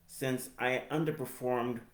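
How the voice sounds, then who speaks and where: An American man in his forties with a speech impediment, giving a personal reflective narration in halting, deliberate phrases. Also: medium pitch, fairly even intonation. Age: 40s. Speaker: higher education professional telling own story. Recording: roomy, echoey sound.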